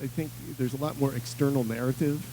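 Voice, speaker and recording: A man from upstate New York, a producer and musician, answering thoughtfully with many pauses and fillers. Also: medium pitch, steady tone, dark tone, slight background noise, rough recording. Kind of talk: casual interview.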